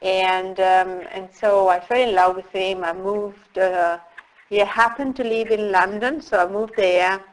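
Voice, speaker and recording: A middle-aged woman speaks as an interview guest, her pitch sitting in a middle range. She talks at a very slow, unhurried pace. She pauses often as she reflects on her story. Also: fairly even intonation, poor audio. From Italy. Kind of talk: casual interview.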